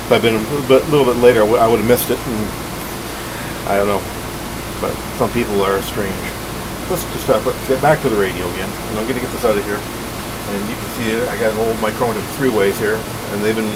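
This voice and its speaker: A man giving a casual hands-on gadget overview as a hobbyist host, plain-spoken, rambling and halting. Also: on the low side. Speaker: radio collector and video host. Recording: steady hiss and hum behind voice.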